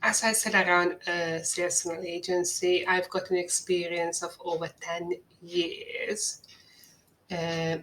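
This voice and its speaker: A woman with a Hungarian accent presents a webinar, taking her time over each phrase. Her voice sits on the lower side, and she sounds earnest and persuasive, like a pitch she has rehearsed. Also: little pitch movement, fairly bright tone, slight background noise, rough recording. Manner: earnest, measured, salesy. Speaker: marketing agency owner presenting a webinar.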